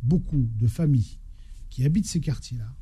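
A man running for office, speaking on a radio panel, halting and repeating himself. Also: mid-range voice, dark tone, breathy edge. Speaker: election candidate on a panel. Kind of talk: radio studio debate.

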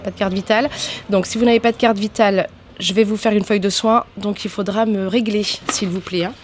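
A woman's voice: mid-range voice, fairly even intonation, a little fast, over music. A woman health worker talking a patient through paperwork in a polite, procedural way. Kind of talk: everyday exchange with a patient.

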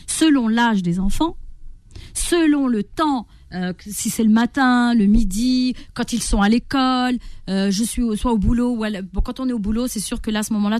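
A woman's voice: a little high, no echo. A middle-aged woman giving parenting advice as an interview guest, talking expressively and acting out the inner voices of stressed parents. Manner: animated, acts out inner voices.

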